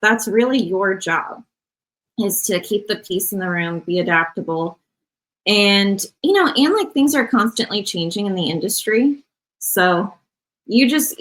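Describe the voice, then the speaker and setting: A woman who works in medical device sales, speaking as a podcast guest in a relaxed, rambling way full of fillers. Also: mid-range voice, a little slow, low-quality recording. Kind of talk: casual podcast conversation.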